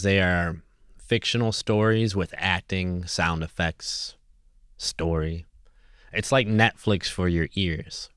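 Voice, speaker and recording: A man's voice: low-pitched, a bit bright, smooth, no echo, studio quality. A man hosting a podcast, talking casually and earnestly in an encouraging, motivational way. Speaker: podcast host. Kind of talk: casual motivational solo talk.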